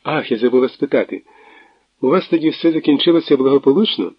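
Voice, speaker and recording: Audiobook figure: man voicing a playful, mocking young woman caller, higher and brighter than his own voice. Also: fast, breathy edge, dry recording, highs cut off, close to an everyday voice. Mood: teasing. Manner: laughing, teasing, chatty. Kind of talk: audiobook reading.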